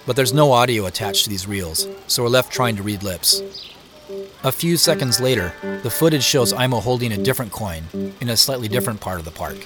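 A man's voice: mid-range voice, expressive, a little fast, music in the background, close to an everyday voice. A man acting as a wry, guarded younger brother and CEO in an audio drama, narrating in a conversational way. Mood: reflective. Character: adult brother, a celebrity CEO, playful and guarded. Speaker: actor and narrator. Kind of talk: first-person audio drama narration and dialogue.

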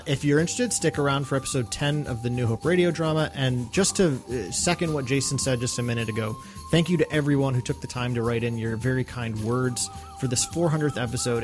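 A man co-hosting a fan podcast, speaking in an earnest, thankful, steady way. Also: mid-range voice. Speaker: podcast co-host. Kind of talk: casual podcast chat.

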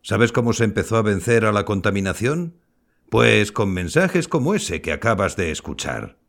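A man acting a kindly, modest old grandfather and former scientist in an audio drama, explaining things in a measured way. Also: lowish voice, sing-song, a bit bright. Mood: earnest, reflective. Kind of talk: scripted audio fiction acting.

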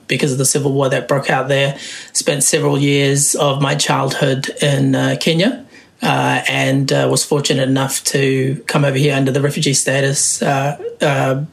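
A filmmaker in his thirties, from Somalia, answering interview questions in a relaxed way with many fillers. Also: mid-range voice, vocal fry. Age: 30s. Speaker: filmmaker guest.

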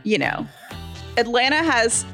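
A woman's voice: on the high side, unhurried, sharp tone, soft background music. A woman chatting casually as a podcast co-host. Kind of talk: casual chat. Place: Atlanta.

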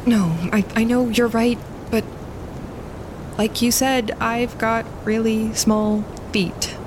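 An actress playing an uneasy woman on a wilderness expedition, speaking haltingly in naturalistic drama dialogue. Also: little pitch movement. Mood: worried. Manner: hesitant, halting. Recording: low rumbling background noise.

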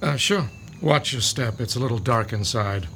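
Eccentric reclusive professor. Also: male voice, medium pitch, animated, normal speed, sharp tone, a little hiss or hum. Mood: lecturing. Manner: mild, lecturing.

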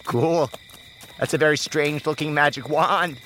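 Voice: medium pitch, moderate pace, fairly bright tone, a little hiss or hum, lightly acted. An actor voicing a talking magical goat in an audio drama, a friendly guide with a bumbling, flustered manner. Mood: flustered, chatty. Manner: bumbling, hesitant, exclamatory.

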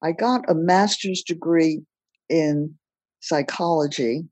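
An older woman from Belgium, recounting her life story as a podcast guest in a measured, plain-spoken way. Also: sits low, darkish tone, dry recording. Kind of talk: personal storytelling in a podcast interview.

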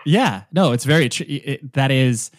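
A man's voice: mid-range voice, expressive, unhurried. A man hosting a podcast interview, talking in long rambling turns full of restarts and fillers. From United States. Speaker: podcast host. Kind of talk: casual interview chat.

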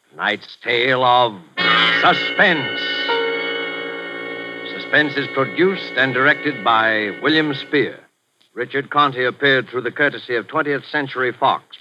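A man giving a formal, declamatory closing announcement on a 1940s radio show. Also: medium pitch. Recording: dull old radio broadcast with music.

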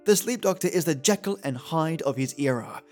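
Higher-pitched chummy male podcast host. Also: lively intonation, normal speed, a little mellow. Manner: chummy, affectionate address to listeners. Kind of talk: conversational podcast commentary. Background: music.

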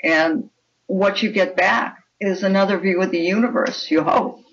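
Older woman, deliberate speech, dull lo-fi audio. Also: medium pitch, creaky. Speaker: interview guest, writer. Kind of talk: conversational interview.

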